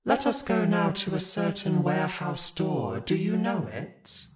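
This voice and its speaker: A man plays a probing ghostly spirit in an audio drama. The voice echoes over loud music, with slow, deliberate delivery. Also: high-pitched, big pitch swings, exaggerated voice. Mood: solemn. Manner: deliberate, questioning. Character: otherworldly, measured, probing Christmas spirit. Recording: echoing voice under loud music.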